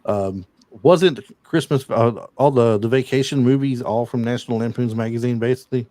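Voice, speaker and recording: Man, podcast host, mid-pitched voice, trivia-heavy, stop-start talk, dry close recording. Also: moderate pace, warm tone, no room sound. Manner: retells movie scenes and reads off film trivia, with many fillers. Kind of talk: casual chat.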